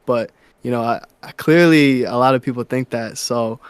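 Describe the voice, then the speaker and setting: A young man from New York, a musician guest in a casual interview, talking softly and haltingly with many fillers and restarts. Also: medium pitch, smooth, no echo.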